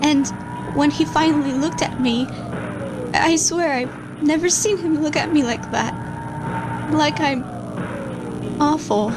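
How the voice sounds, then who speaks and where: A woman voicing a shaken, guilt-ridden scientist who records a lab log for a horror series, halting and stammering anxiously. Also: sits high, background noise. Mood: haunted, uneasy. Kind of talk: audio log monologue in an analog horror series.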